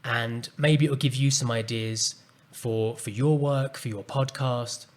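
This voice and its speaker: A man hosting a solo podcast, speaking calmly and earnestly as he reflects on a personal story. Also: medium pitch, slow. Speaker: podcast host and coach.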